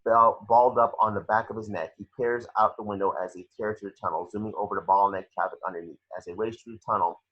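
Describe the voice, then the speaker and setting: Male script narrator, lower-pitched and husky, muffled home recording, slightly halting read of stage directions. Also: fairly even intonation. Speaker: reader of action descriptions. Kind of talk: screenplay narration at a table read.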